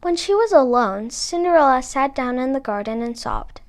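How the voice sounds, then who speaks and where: Female narrator for children's fairy tales, high-pitched, expressive scripted read-aloud. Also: normal speed. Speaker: storybook reader.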